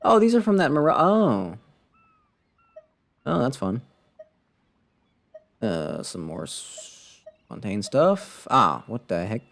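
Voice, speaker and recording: A man's voice: mid-range voice, big pitch swings, takes it slowly, gravelly, pauses often, a bit bright. A man giving casual live commentary as a game streamer, rambling and muttering to himself with playful sarcasm.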